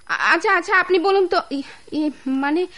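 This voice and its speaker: A woman's voice: very high-pitched, normal speed, bright, forceful tone. A young woman with a Bangladeshi accent playing a playful, pleading grown-up daughter in a radio drama, whiny and coaxing. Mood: mock protest. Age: young adult.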